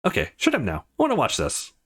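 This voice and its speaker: A man's voice: mid-range voice, wildly swooping pitch, quick pace, husky. A man voicing a curious, teasing young woman, a roommate in a visual novel. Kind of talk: reading visual novel dialogue.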